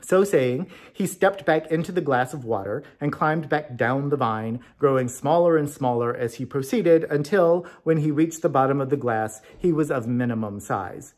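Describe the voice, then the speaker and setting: A man reading a storybook tale aloud as narrator, in an expressive scripted style. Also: medium pitch, moderate pace, hardly any pauses. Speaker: story reader.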